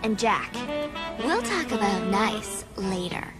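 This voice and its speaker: A woman's voice: medium pitch, big pitch swings, takes it slowly. A young woman playing a headstrong, confident female mechanic in a video game, spirited and teasing. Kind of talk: animated video game acting. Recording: music behind the voice.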